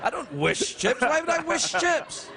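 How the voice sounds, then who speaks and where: A man's voice: very high-pitched, big pitch swings, measured pace, gravelly, crowd noise. A man hosting a late-night talk show, teasing his guest with bawdy jokes and silly accents.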